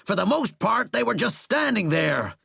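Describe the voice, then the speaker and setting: A man voicing an angry, outspoken army general in an audiobook, loud, forceful and scornful. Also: sits high, sing-song, moderate pace, gravelly, breathy edge, dry recording, clean studio sound, voice pushed up, heavily acted. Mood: loud, exasperated. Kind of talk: audiobook character acting.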